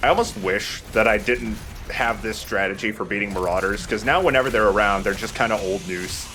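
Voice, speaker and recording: A man's voice: lowish voice, fairly quick, sharp tone, slight background noise, poor audio. A young man casually commentating on a video game with friends in a jokey manner. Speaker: let's play host and player.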